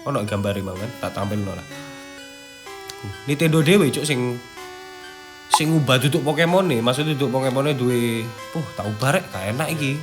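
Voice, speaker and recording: A man's voice: mid-range voice, expressive, normal speed. A young man with an East Javanese accent hosts casually, mixing read-out script with informal, slangy asides. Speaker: host. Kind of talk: casual chat mixed with reading from a script. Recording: music playing behind the voice. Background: background music.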